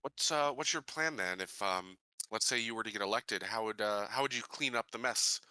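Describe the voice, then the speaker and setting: A man playing a tabletop roleplaying game, chatting and improvising questions in a halting, thinking-aloud way. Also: on the low side, pushed and bright, clear, resonant tone, no room sound, poor audio. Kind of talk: casual improvised roleplay chat.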